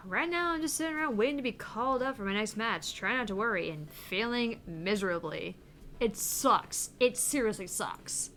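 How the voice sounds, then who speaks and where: A woman's voice: on the high side, lively intonation, normal speed, fairly bright tone, a little hiss or hum. A woman reading as Queen Bee, a cocky gamer. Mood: stressed. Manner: defensive bravado, reading aloud. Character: stressed, cocky young woman tournament gamer.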